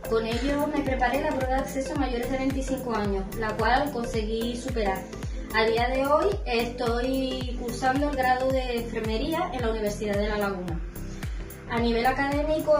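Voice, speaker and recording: A woman in her thirties, a former student, giving a steady, earnest testimonial. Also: fairly high pitch, recorded from a distance. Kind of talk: prepared testimonial. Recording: echoey room, music behind.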